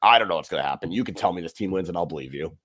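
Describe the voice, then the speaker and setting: Very deep-voiced man, dry close sound. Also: no echo. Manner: relaxed, measured, acts out reactions in quotes. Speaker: podcast co-host. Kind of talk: casual sports chat.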